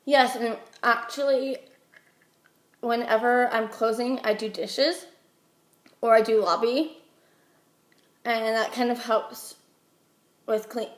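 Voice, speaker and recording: A young woman with an American accent speaks in a higher-pitched voice, slightly under the weather with a head cold. She answers interview questions in a chatty, halting way. The recording has a clear roomy echo. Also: steady tone. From Utah, United States. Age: young adult. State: getting over a head cold. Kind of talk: informal phone interview answers.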